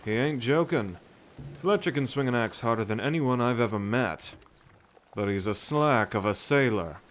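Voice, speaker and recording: A man's voice: mid-range voice, animated, moderate pace, a little hiss or hum, close to an everyday voice. A man playing an educated, kindly, rational sailor with articulate, bookish phrasing in a nautical fantasy audio drama. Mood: wry. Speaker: ship crewman.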